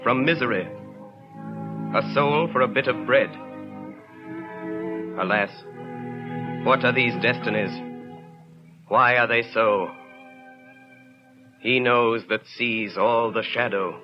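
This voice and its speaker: Old radio storyteller, a man's performance: grave, oratorical, mid-pitched, faint music underneath. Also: slow pace, pauses often, lightly acted. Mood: mournful, grave. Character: solemn, brooding storyteller of a tragic novel. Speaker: narrator. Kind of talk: 1930s radio drama narration, literary. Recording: dull 1930s radio recording.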